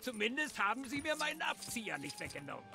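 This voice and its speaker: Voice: medium pitch, sing-song, fast talker, over loud music, close to an everyday voice. An actor playing a grumbling, put-upon civilian in a superhero video game, complaining theatrically. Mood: grudging thanks. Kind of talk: animated video game voice acting.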